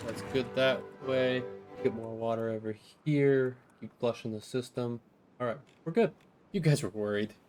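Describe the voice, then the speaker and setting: A man hosting a let's play, talking himself through each move in the game and speaking to his viewers in a friendly, direct way. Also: mid-range voice. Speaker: gaming channel host. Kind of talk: let's play gameplay commentary. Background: background music.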